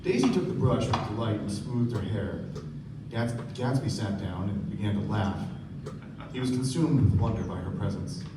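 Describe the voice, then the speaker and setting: A man's voice: lowish voice, lively intonation, normal speed, lightly acted. An actor playing a polite, wry young man who narrates a radio play. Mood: narrating, measured. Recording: very echoey, distant, noisy stage recording.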